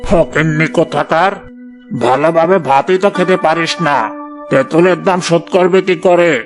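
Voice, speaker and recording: A man's voice: on the high side, steady tone, moderate pace, lightly acted. A man voicing a greedy, rude village headman in a cartoon, scolding and haughty, over music. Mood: scornful, angry. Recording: music behind the voice.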